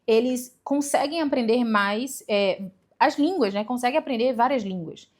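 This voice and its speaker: A young woman, giving casual advice to camera in a chatty way, with rhetorical questions and self-corrections. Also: a little high. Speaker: vlogger giving language-learning tips.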